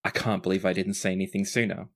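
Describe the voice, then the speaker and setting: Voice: low voice, flat delivery, fast. A game master voicing a husband who is trying to sound sincere in a tabletop game. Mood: gobsmacked flattery.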